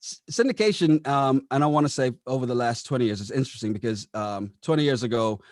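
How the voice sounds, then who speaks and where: A man's voice: mid-range voice, fast talker, a little mellow, no room sound, clean studio sound. A man answering interview questions conversationally, explaining things and often posing rhetorical questions as he goes. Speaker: radio operations manager being interviewed.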